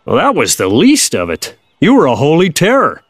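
A man's voice: a little high, wildly swooping pitch, moderate pace, slightly rough, dry recording, studio quality, heavily acted. An actor playing an older Kansas farmer father, plainspoken, folksy and patiently wry, in an audio drama.

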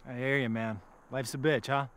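A man's voice: medium pitch, fairly even intonation, moderate pace, fairly bright tone, smooth, natural voice. An actor in his thirties playing an earnest, insistent TV weatherman in a film drama, speaking outdoors. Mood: friendly. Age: 30s. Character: earnest, eager young male TV weatherman. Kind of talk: film acting, dramatic dialogue. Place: outdoors in an open field. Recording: noisy open-air film dialogue.

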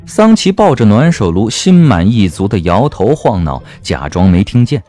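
A man's voice: lowish voice, big pitch swings, moderate pace, warm tone. A man narrating a comic period novel as an audiobook, with a wry, theatrical delivery. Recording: music bed under the voice.

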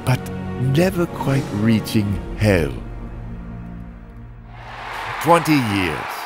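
Male actor as bitter stunt driver narrating a motion comic, very low register, wide dramatic swings, brooding. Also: full character voice. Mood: bitter, self-loathing, then scornful. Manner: slow, brooding, theatrical, swinging in intensity. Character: bitter, haunted male demolition derby driver with a death wish. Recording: music bed behind the voice.

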